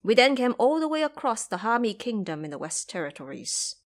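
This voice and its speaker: A woman's voice: a little high, very animated, a little fast. A woman playing an earnest male travelling monk, speaking formally and deferentially in an audio drama. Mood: modest. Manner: formal, deferential, gently scolding.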